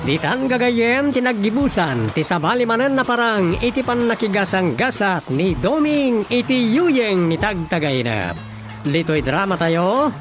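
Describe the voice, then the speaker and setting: A radio drama announcer with an Ilocano accent. The delivery is a scripted programme announcement, laid over clearly audible music. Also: mid-range voice.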